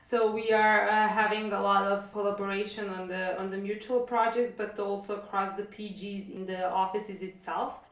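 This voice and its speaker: A woman's voice: medium pitch, flat delivery, moderate pace, hardly any pauses. A woman in her thirties from Serbia with a Serbian accent, chatting hesitantly with many fillers as a podcast co-host. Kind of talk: casual conversation. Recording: roomy echo.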